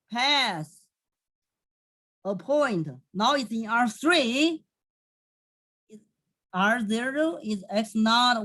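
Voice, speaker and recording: A woman from southwest China with a Chinese accent, teaching math in a recorded lecture and often pausing to correct herself. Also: fairly high pitch, a bit bright. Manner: slow, halting, thinking aloud, self-correcting. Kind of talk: recorded lecture over a digital whiteboard.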